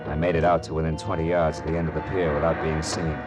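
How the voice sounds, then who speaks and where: An actor playing a wry, world-weary American private detective in a radio drama, sardonic and deadpan. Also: male voice, rumbling low, fast talker, loud music behind the voice. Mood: tense narration. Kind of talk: old-time radio detective acting and narration.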